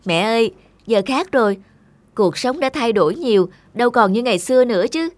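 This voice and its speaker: A woman's voice: on the high side, animated, a little fast, no room sound, close to an everyday voice. A woman playing an anxious, exasperated young new mother in a cartoon, plaintive and scolding. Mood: gently chiding. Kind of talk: cartoon dialogue.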